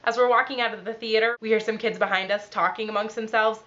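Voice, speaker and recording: A young woman who is ill and sounds nasal, telling a story in a quick, dry, deadpan vlog. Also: on the high side, lo-fi sound. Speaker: vlogger.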